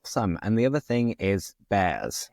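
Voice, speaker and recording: A man's voice: on the low side, very animated, moderate pace, gravelly, darkish tone, no echo, clean studio sound. A man from Britain hosting an interview, asking questions in an upbeat, curious way. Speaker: host.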